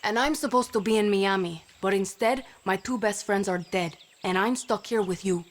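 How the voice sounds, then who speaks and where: A woman's voice: medium pitch, normal speed, a little hiss or hum, close to an everyday voice. A woman playing a young, tough, wry guerrilla fighter in a video game, speaking dryly and directly. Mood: sombre, firm. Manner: dry, streetwise, direct. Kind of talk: video game dramatic acting.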